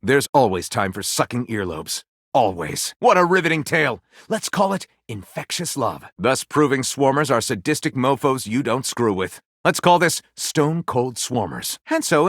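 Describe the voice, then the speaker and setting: A man voicing a flamboyant, excitable, pompous author character for a video game, narrating in a grandiose, melodramatic storytelling style. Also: mid-range voice, normal speed, no room sound, clean studio sound. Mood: grandiose, gleeful. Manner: grandiose, melodramatic narrator delivery with gushing exclamations. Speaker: voice actor playing a game character.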